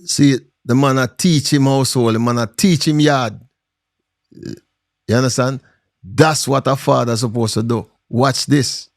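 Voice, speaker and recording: A man's voice: mid-range voice, steady tone, unhurried, dry recording. Emphatic Jamaican man, dry sound. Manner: emphatic, rhetorical. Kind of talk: casual commentary to camera.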